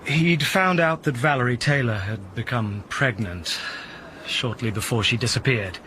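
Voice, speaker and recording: An actor playing a former schoolteacher answering a police inspector with frank, rueful candor. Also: male voice, medium pitch, unhurried, background noise, lightly acted.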